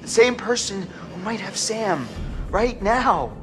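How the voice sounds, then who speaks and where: A man's voice: sits high, animated, slow pace, over music. A man playing a level-headed young American man in a horror game, reasoning earnestly. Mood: grim. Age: young adult. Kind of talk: horror video game voice acting.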